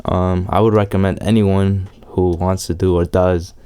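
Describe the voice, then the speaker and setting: The laid-back young guy you would meet resting on his bike, answering questions in slang, his voice lowish and mellow. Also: level tone, moderate pace, smooth. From El Salvador, long resident in New York. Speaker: interviewee, amateur BMX rider. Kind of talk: casual chat.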